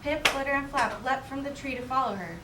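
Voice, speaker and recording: A woman teacher reading a picture book aloud expressively to a class, in an animated way. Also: high voice, fairly quick. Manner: animated, acts out character dialogue. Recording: roomy, distant mic with background noise.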